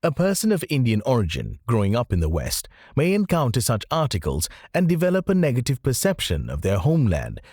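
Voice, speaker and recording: A man reading scripted nonfiction audiobook narration in a measured, carefully enunciated way with emphatic phrasing. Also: mid-range voice, darkish tone, no echo, studio quality.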